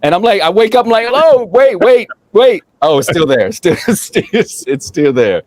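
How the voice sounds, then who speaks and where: A man hosting an interview show, chatting in an animated, playful way, laughing often and repeating phrases. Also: sits high, a little slow, no echo. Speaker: podcast host. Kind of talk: casual interview chat.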